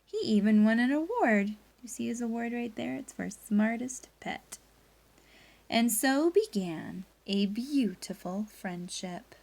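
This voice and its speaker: A woman's voice: a little high, lively intonation, slow pace, vocal fry, a little mellow. A woman teacher leading a read-aloud lesson for pupils, reading expressively and guiding them through a story. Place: indoors at a laptop.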